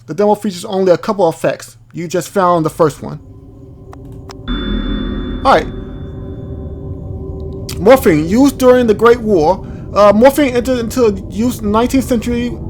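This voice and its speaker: A man hosting a gaming video, thinking aloud in an informal, halting way as he comments and reads from the screen. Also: sits high. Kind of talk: casual let's play commentary, reading on-screen text aloud.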